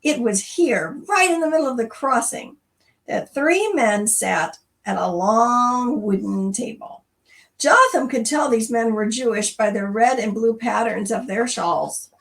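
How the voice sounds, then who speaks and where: A middle-aged woman hosting a church storytime, talking informally and reading aloud in an expressive, conversational way straight to her listeners, recorded slightly away from the mic. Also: on the high side, measured pace, distant mic.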